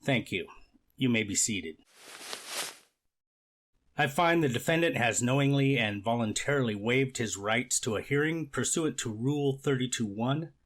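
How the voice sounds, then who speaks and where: Court official, played by a man: middle-register voice, measured, procedural, scripted legal reading. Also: medium pitch, natural voice. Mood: procedural, authoritative. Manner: measured, formal, methodical. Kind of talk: amateur readers theater, scripted legal reading.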